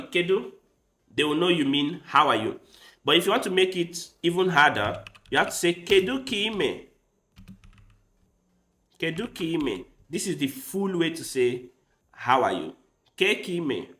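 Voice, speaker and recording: A man's voice: on the high side, roomy sound. A man streaming chess live, talking casually as he thinks through his moves, with Igbo as his first language. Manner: thinks aloud while playing, with quick bursts of excitement.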